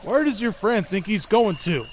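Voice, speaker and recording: Noisy background, male actor as commanding cop, high voice, brisk, comic dub. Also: very animated. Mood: brisk, official.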